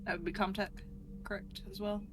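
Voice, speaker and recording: A woman's voice: medium pitch, level tone, fairly quick, faint music behind, low-quality recording. A woman chatting casually as a player in a tabletop roleplaying game. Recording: faint noise and room ambience.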